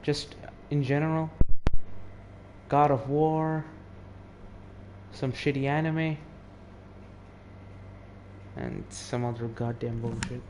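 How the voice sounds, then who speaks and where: A man's voice: medium pitch, steady tone, slow, pauses often, a little mellow, a little hiss or hum. Casual teenage guy commenting on a game. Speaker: game streamer. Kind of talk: casual off-the-cuff gameplay commentary.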